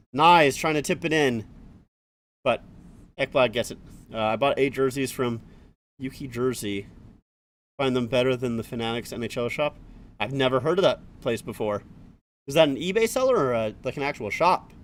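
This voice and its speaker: A man streaming a live hockey watch-along, calling the play-by-play and chatting with viewers between plays. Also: medium pitch. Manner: rapid running play-by-play, swears, chats with viewers.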